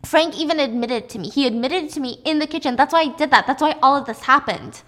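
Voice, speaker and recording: A woman's voice: fairly high pitch, normal speed, a little mellow. A woman voicing a desperate, emotional young wife from a film story, begging insistently and repeating herself with emphasis. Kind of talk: acted dialogue in a movie retelling.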